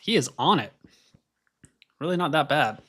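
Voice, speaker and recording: A man's voice: fairly high pitch, expressive, normal speed, sharp tone. A young man with an American accent, born in Scotland, hosting a casual live stream and chatting while he watches qualifying. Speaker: livestream host.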